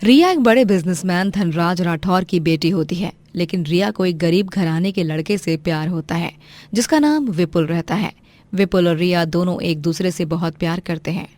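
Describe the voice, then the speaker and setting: A woman's voice: lowish voice, moderate pace, darkish tone. A woman reading a scripted moral story as its narrator.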